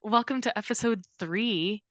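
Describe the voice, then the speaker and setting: A woman's voice: mid-range voice, measured pace, dry recording, muffled recording. A woman co-hosting a casual comedic book chat, playful and jokey, with some swearing. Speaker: podcast co-host.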